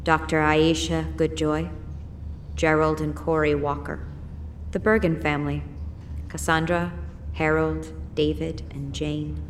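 Very low-pitched woman reading out names. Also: little pitch movement, unhurried, slight background noise. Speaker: announcer reading a list of names. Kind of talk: scripted read-out.

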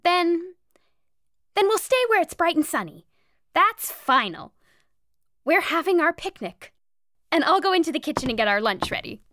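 A woman's voice: extremely high pitch, very animated, normal speed, pauses often, a bit bright, no echo, full character voice. An actress playing a cheerful, headstrong teenage girl in a fairytale audio drama. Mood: cheerful, decisive. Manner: cheerful, decisive.

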